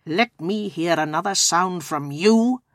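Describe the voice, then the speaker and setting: A bitter old miser from a storybook performed by a woman, stern and growling. He is scornful and indignant, with big swings of pitch in a close, dry recording. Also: expressive, moderate pace, a bit bright, no echo. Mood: stern, sarcastic.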